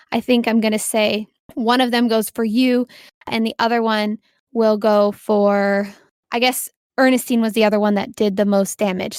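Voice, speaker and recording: A woman's voice: on the high side, no room sound, clean studio sound. A woman acting as game master, narrating a tabletop role-playing game in a casual, improvised way and encouraging the players. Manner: encouraging, affirming players with short praise.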